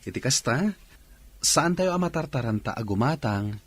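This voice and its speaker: A man's voice: medium pitch, expressive, normal speed, breathy edge. A man acting a polite, hospitable restaurant owner in an Ilocano radio drama. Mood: attentive. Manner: polite, accommodating. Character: hospitable orphaned restaurant owner, courteous young boss.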